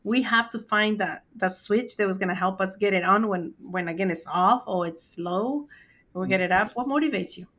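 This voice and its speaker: A woman's voice: mid-range voice, moderate pace, fairly bright tone. A woman hosting a podcast interview, speaking in an encouraging, conversational way with small grammar slips. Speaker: podcast host.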